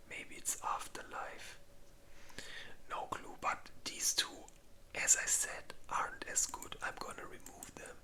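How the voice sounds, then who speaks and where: A host speaking in a breathy, unvoiced whisper, rambling informally in a casual ASMR ranking video. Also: sharp tone.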